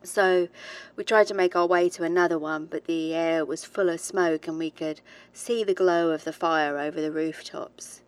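A haunted but determined woman researcher, played by an actress speaks into her audio diary in a horror drama. Her voice sits a little low. She tells her story in a measured, reflective way, hesitating as she confesses. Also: steady tone, close to an everyday voice. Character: haunted, determined woman researcher, British-born, recalling childhood ghosts.

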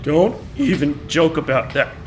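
A man's voice: a little high, sing-song, a little slow, a little hiss or hum. A man playing a bitter, grumpy dock boss in a noir radio drama, curt, surly and folksy. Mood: grudging, irritated. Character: grumpy bitter man guarding the docks, gruffly resentful.

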